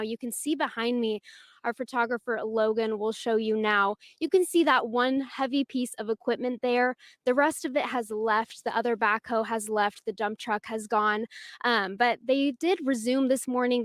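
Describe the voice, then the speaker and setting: Woman, American accent, live TV reporter, conversational delivery, raised pitch, dry sound. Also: dry recording. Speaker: TV news field reporter. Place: outdoors in a residential street.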